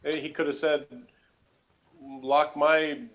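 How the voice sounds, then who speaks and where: A man chatting casually as a co-host about a TV show, in a rambling, halting way, on a dull lo-fi recording. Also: fairly high pitch, little pitch movement. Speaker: podcast co-host. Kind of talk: casual TV episode discussion.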